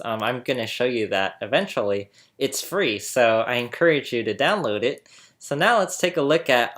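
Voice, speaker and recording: A man's voice: medium pitch, a bit bright. A man hosting a casual tutorial, chatty and laughing often as he explains, recorded on a laptop mic indoors. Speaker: host explaining phonetics. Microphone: laptop webcam mic.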